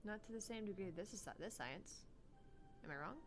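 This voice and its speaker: A woman's voice: mid-range voice, expressive, a little fast, noisy background. A woman chatting casually and informally as a co-host of a game playthrough. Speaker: let's play co-host. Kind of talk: casual chat.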